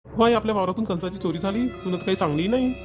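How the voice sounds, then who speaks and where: Performer voicing a quick-talking village man, caring but nagging. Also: mid-range voice, music in the background, natural voice. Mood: concerned. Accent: rural Maharashtrian. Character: grown village son and husband, caring, mildly nagging. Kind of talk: Marathi family cartoon voice acting.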